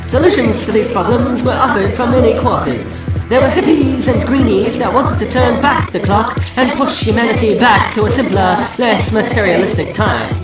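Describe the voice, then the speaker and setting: A woman's voice: on the high side, moderate pace, few pauses. A woman narrating a satirical radio drama in a wry, dry storytelling manner. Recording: echoey with loud music.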